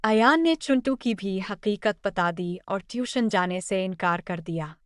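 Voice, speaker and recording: Clear, smooth female storyteller. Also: medium pitch, animated, measured pace, no room sound, studio quality. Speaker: narrator. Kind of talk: scripted story narration.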